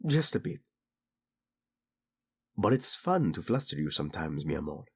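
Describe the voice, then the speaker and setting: A man playing a doting, teasing tyrant prince in a romantic audio roleplay, flirtatious and tender with a husky delivery. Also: rumbling low, big pitch swings, moderate pace, darkish tone, full character voice. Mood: playful, flirtatious.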